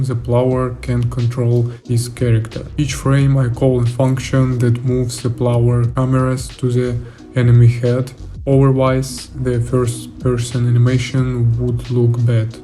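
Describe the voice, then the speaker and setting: A man's voice: mid-range voice, warm tone. A young man reading scripted devlog narration in a plain, matter-of-fact way, explaining his work. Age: young adult. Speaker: indie game developer narrating a devlog. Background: music.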